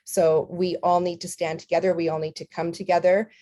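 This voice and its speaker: A woman's voice: fairly low pitch, level tone, a little fast, mellow. A woman from Canada whose first language was Ukrainian, speaking earnestly with some fillers as a company founder at a volunteer orientation. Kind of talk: personal talk at an online orientation.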